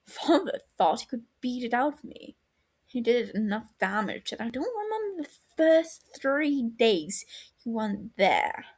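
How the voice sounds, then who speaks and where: Woman acting a bitter, wounded younger brother, high-pitched. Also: big pitch swings, measured pace, darkish tone, exaggerated voice. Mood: bitter, anguished. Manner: heated, scornful, breaking into breathy hurt.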